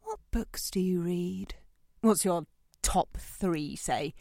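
A woman's voice: on the low side, slow pace, gravelly, darkish tone, dry recording, studio quality. An actress playing a composed, probing woman who quizzes and judges her date with pointed questions in a naturalistic audio drama. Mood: brisk, testing.

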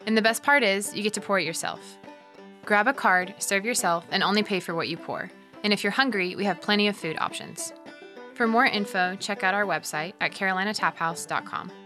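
A woman reads a scripted radio commercial in a bright, forward voice. Her pitch sits in the middle range, with faint music underneath. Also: fairly quick.

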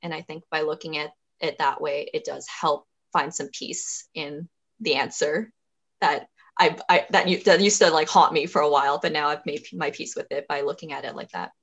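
A woman's voice: low voice, fairly even intonation, moderate pace. A young woman from Canada, a podcast guest, speaking earnestly and thoughtfully in a reflective conversation, often restarting her sentences.

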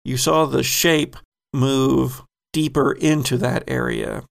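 A man running a tabletop game as game master, setting scenes in an unhurried, descriptive way. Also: mid-range voice, steady tone, vocal fry.

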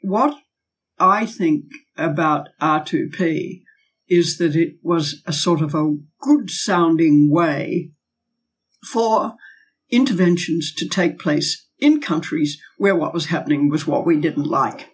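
A woman's voice: deep voice, slow pace, husky. A woman, a former diplomat and academic, speaking as a guest in a podcast interview and arguing her points emphatically with rhetorical questions. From Australia.

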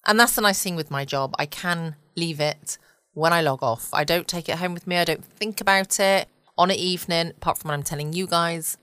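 English woman host, rather low voice, dry and close, soothing chatty delivery. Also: a little fast, fairly bright tone, smooth, no echo. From England. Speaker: podcast host. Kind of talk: cozy late-night podcast chat. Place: home.

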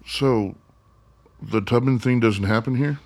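A man's voice: mid-range voice, unhurried, darkish tone, no room sound. An actor in a radio play voicing a wary younger man with a dry, questioning manner. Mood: wry.

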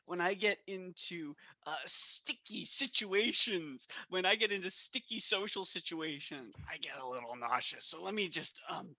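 A man's voice: sits high, animated, normal speed, few pauses, pushed and bright, no echo, heavily acted. A man playing a squeamish, apologetic bank teller who halts and groans through an improv comedy sketch. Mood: queasy, apologetic. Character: squeamish, apologetic male bank teller, nauseous under pressure.

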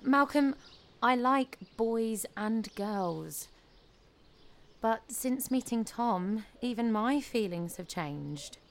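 A woman's voice: fairly high pitch, expressive, slow pace, a little hiss or hum. A woman acting a frank, self-assured young British girlfriend in an audio play, patient, firm and matter-of-fact. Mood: friendly.

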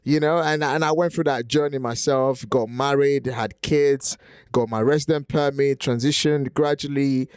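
Nigerian accent, man, interview host, relaxed, repeats himself, middle pitch, dry recording. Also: moderate pace, clean tone, dry recording. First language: Nigerian language. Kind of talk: conversational interview.

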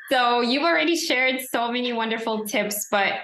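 A woman's voice: a little high, normal speed, a bit bright, roomy sound, a little hiss or hum, rough recording. A woman hosting a podcast, upbeat and encouraging as she asks questions. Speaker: podcast host. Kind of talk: casual interview chat.

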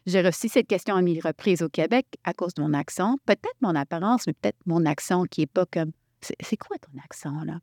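A woman from Quebec leading a workshop, talking in an animated way and restarting her sentences often. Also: lowish voice, a little fast, dry recording, clean studio sound. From Quebec, Canada. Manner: animated, thinks aloud with many restarts. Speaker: workshop facilitator. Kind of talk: workshop talk.

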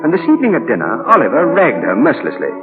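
A man's voice: mid-range voice, wildly swooping pitch, rapid-fire. An actor playing a troubled, earnest middle-aged father with a hesitant manner in a 1940s radio drama. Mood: reflective narration. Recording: muffled 1940 radio broadcast with faint music.

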